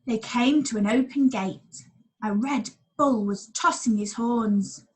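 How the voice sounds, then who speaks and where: A woman's voice: a little high, slow, a little mellow, low-quality recording. A woman reading a picture book aloud expressively for young children, as a school storyteller. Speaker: primary school staff storyteller.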